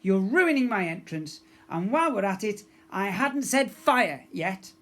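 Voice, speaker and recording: A man voicing a bossy, short-tempered young goblin pirate girl who snaps and shouts in a storybook reading. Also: high-pitched, sing-song, measured pace, pitched above the performer's own voice, full character voice. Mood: exasperated, bossy. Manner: shouting, snappy.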